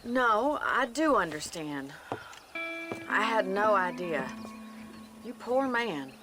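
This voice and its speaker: A woman's voice: medium pitch, very animated, slow pace, music in the background. A woman playing a plucky, wry young rancher with a teasing, forthright manner in a western video game. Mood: sympathetic, earnest. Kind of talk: video game character acting.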